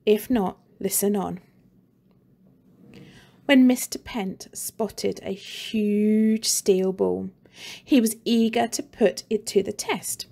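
A woman teacher reads a funny children's story aloud, taking her time over each phrase. Her voice has a gravelly edge, and she plays up the jokes. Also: mid-range voice. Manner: slow, expressive, playful.

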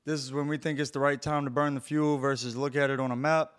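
Fast-talking blunt man narrating. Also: medium pitch, little pitch movement, fairly bright tone. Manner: blunt, casual, swears freely. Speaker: pressure washing business owner. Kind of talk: scripted voice-over narration.

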